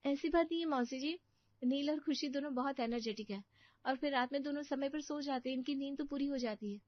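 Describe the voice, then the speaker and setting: A woman's voice: high-pitched, a little fast, no echo, highs cut off, pitched above the performer's own voice, close to an everyday voice. A woman playing a patient, polite young daughter-in-law and mother in a cartoon story. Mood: reassuring.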